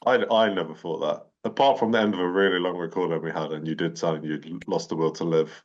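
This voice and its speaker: A man's voice: low voice, steady tone, quick pace. A man co-hosting a casual podcast chat, teasing and starting with hesitant fillers. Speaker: podcast co-host. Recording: dull lo-fi mic.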